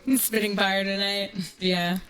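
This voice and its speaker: A woman's voice: medium pitch, level tone, unhurried, fairly bright tone, soft background music, poor audio. A woman streamer chatting casually and ranting in a brash, profane, sarcastic way. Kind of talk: casual livestream chat with ranting storytelling.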